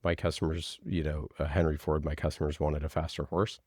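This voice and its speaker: A man with a low voice talks casually as a podcast guest. He is passionate and emphatic, often posing rhetorical questions to press a point. The sound is dry, with very little room in it. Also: little pitch movement, brisk, darkish tone, dry recording. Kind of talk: casual conversational interview talk.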